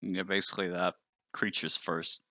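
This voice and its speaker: A man with a fairly low but bright, firm voice takes part in a tabletop role-playing game. He banters casually with a wry delivery, recorded close with almost no room sound. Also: little pitch movement, moderate pace, no room sound.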